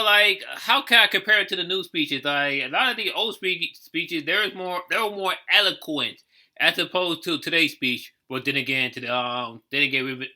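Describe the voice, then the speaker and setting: High, bright-voiced man reacting casually. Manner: halting, repetitive phrasing, thinking aloud. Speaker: reaction video host. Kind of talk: casual off-the-cuff reaction commentary.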